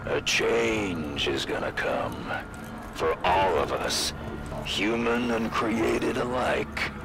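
A man acting a grave, philosophical colony leader in a video game, speaking in a measured, formal way with a gravelly voice. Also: lowish voice. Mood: solemn, knowing. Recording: loud music behind.

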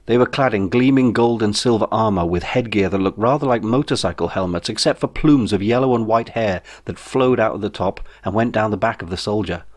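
A man with a fairly low voice narrates a comic science fiction novel. His delivery is wry and dry, as an author reading his own book. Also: fairly quick, hardly any pauses.

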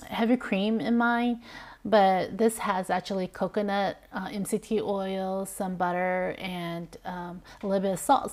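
A woman's voice: on the low side, a little mellow. A middle-aged woman in a home kitchen, chatting casually through her groceries while filming them herself, with lots of fillers and restarts. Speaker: vlogger. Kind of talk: casual grocery haul walkthrough.

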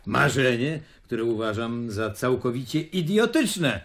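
A scornful skeptic of a philosopher from a radio drama. His voice sits at a middling pitch, and he pronounces his opinions bluntly and dismissively. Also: lively intonation, a little slow, a bit bright.

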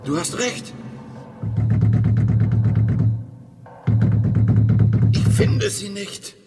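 An actor playing a brooding, estranged husband in a radio play, with deliberate dramatic delivery. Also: male voice, high voice, lively intonation, over loud music. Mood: strained, searching. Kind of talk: German radio play acting.

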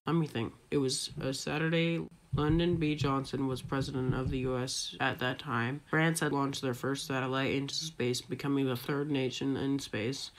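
A performer voicing an excitable, sarcastic young boy adventurer, earnestly telling a scripted audio drama story. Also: medium pitch, normal speed, lightly acted, low-quality recording.

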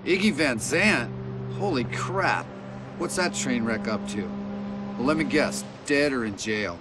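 A man's voice: mid-range voice, animated, measured pace, very noisy, natural voice. Man acting a laid-back veteran skater. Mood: amused, nostalgic. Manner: casual, slangy. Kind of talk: video game cutscene acting.

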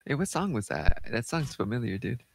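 A man's voice: medium pitch, sing-song, a little fast, creaky, dry recording. A man co-hosting a casual reaction chat, answering in short, laid-back reactions. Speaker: co-host.

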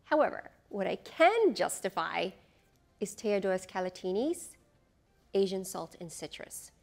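A woman's voice: mid-range voice, big pitch swings, measured pace, creaky, soft background music. Middle-aged woman, chatty fragrance reviewer, lapel mic, enthusiastic and rambling. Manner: chatty, enthusiastic, thinks aloud with fillers and asides. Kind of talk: casual product review to camera. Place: studio in front of a beach backdrop.

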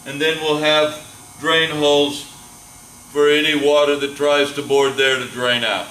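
A man's voice: fairly high pitch, pushed and bright, recorded from a distance. Older man in an echoey boat shop. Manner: plain, unhurried, with frequent uh fillers. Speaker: boat builder giving a build tour. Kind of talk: casual walkthrough tour. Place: large boatbuilding warehouse, indoors. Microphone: camera mic, speaker often several steps away. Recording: echoey warehouse, voice from off-mic, faint hum.

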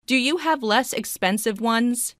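A woman reading short English dialogue lines slowly and clearly, repeating each phrase three times for learners. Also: on the high side, a bit bright, dry recording, clean studio sound. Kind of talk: slow scripted phrase repetition.

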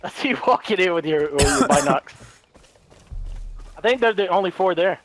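A man chatting and laughing while playing a co-op video game, a bit hoarse from long talking, often breaking into excited shouts. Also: sits high, measured pace, soft background music. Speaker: co-op gaming guest. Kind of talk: casual gameplay chat.

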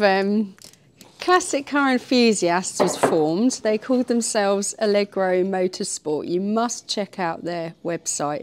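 A British woman with a mid-pitched voice co-hosts a casual vlog. She asks questions and explains things to viewers in a wry, playful way. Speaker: co-host interviewing and filming.

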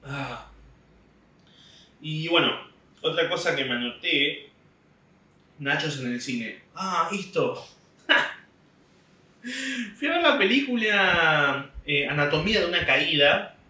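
Young Argentine man, comic storyteller, bright tense voice, slightly high, small echoey room. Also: animated, slow pace, long pauses, far from the mic. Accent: Argentine Spanish. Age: young adult. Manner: rambling storytelling with laughs. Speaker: comedian podcast host. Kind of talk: casual comic monologue. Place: small home room indoors. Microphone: desk mic on stand, camera at distance.

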